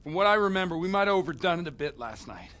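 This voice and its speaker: A scheming village priest nursing a hangover, in video game style. His voice sits fairly high, and he talks in a dramatic, groaning, coarse-mouthed way, panicky and wheedling by nature. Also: very animated, fast, a bit bright. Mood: scheming, pleading. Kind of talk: video game cutscene acting.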